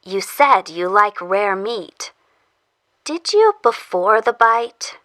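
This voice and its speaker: A woman playing a controlled, earnest official who interrogates someone in an audio drama, speaking formally and in measured phrases. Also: medium pitch, lively intonation, fairly bright tone, no room sound. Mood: probing. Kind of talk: audio fiction acting.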